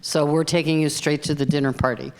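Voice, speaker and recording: An older woman introducing herself as a panelist at a conference, speaking in a measured way with fillers. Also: low-pitched, steady tone. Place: large conference hall. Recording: long hall reverb.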